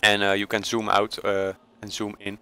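Dutch guy doing a game tutorial, lowish monotone, lots of uhs, faint music behind. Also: moderate pace. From Netherlands. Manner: hesitant, many uh fillers and self-corrections. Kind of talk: casual screen-recorded tutorial voice-over.

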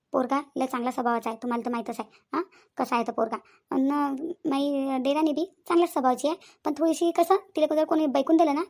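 A woman's voice: sits high, a little mellow. A woman playing a middle-aged village matriarch in a comedy cartoon, placating and talkative, with a Varhadi Marathi accent and repetitive, reassuring persuasion.

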